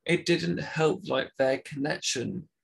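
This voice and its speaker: A man's voice: on the high side, steady tone, takes it slowly, gravelly, slightly rough, low-quality recording. A man who stammers, talking casually and openly with many fillers. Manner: stammers, talks openly about it. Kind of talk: casual conversation.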